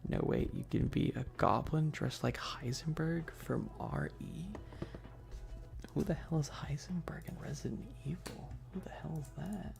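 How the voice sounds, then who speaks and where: A man's voice: fairly high pitch, unhurried, vocal fry, whispered, music in the background. A man chatting casually as a livestream host, bantering with viewers in a slangy, joking way. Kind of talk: casual livestream chat, ASMR streamer.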